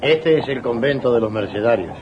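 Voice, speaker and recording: A man's voice: mid-range voice, lively intonation, moderate pace, lightly acted. A man playing a deferential army captain in a radio drama, formal and respectful. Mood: dutiful, formal. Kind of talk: 1980s radio drama acting. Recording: muffled, faintly noisy old radio recording.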